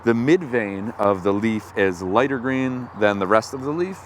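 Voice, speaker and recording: Enthusiastic male host with a lower voice, recorded outdoors with light noise. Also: lively intonation, normal speed. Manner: enthusiastic, explaining. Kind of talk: casual outdoor foraging talk. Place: outdoors in woods.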